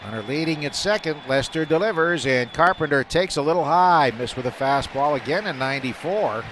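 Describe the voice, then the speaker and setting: A man calling baseball live on radio, describing each play in detail and getting excited on the big ones. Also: fairly high pitch, a little fast. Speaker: play-by-play announcer. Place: stadium broadcast booth. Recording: faint stadium noise behind a broadcast.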